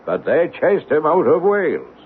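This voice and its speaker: Through a tinny, noisy old radio broadcast comes an old Irish village publican. He is folksy, talkative and superstitious, with a lilting delivery that swings widely in pitch as he spins out his rambling tales. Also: big pitch swings, moderate pace. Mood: grave, ominous. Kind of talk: old-time radio drama acting.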